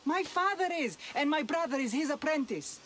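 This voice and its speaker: An actress playing a young, self-doubting blacksmith's daughter in a video game, wry and hesitant. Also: female voice, sits high, expressive, normal speed, fairly bright tone. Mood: grumbling, reluctant. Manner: wry, hesitant, grumbling, sighing. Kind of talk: video game cutscene acting.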